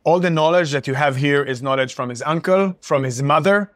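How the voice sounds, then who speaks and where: A man's voice: a little high, a little fast, no echo, studio quality. A man whose first language is Hebrew, working as a food guide and explaining a family restaurant's history in a chatty way with frequent restarts. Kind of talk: casual conversation. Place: hummus restaurant.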